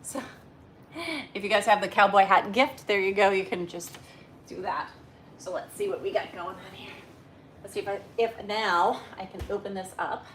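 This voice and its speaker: A woman's voice: medium pitch, moderate pace, fairly bright tone, a little hiss or hum. A woman hosting a casual livestream, chatty and rambling as she talks with her viewers. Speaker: livestream host. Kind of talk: casual live chat with viewers.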